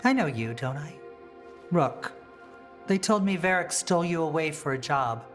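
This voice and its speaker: A woman playing a poised, sly aristocratic mage rebel in a fantasy game, teasing and measured. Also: low voice, animated, faint music behind. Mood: wry, familiar.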